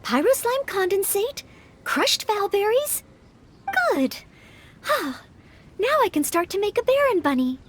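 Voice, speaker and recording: A woman's voice: very high-pitched, sing-song, unhurried, long pauses, a little hiss or hum, full character voice. A woman voicing an earnest, polite, self-doubting young maid who hopes to be a knight in an anime-style video game, speaking with careful diction. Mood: pleased.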